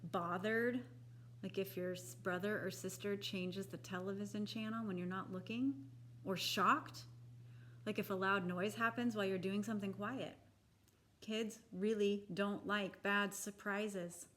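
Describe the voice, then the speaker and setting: Woman, medium pitch, very unhurried pace, children's book read-aloud, deliberate and expressive phrasing. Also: slight background noise. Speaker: children's picture book reader.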